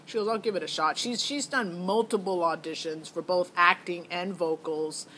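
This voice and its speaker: A woman's voice: lowish voice, normal speed, sharp tone, poor audio. There is light background noise on this amateur recording. A middle-aged American woman talks off the cuff in a casual vlog style. She is opinionated and digressive, circling back to her point as she goes. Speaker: parent vlogger. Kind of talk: casual unscripted monologue to camera.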